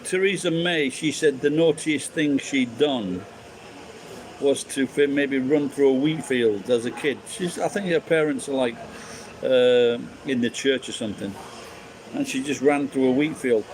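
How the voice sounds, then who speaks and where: A man's voice: medium pitch, unhurried, darkish tone, a little hiss or hum, rough recording. A man from England with a Sheffield accent, ranting bluntly and swearing in casual chat. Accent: Sheffield English.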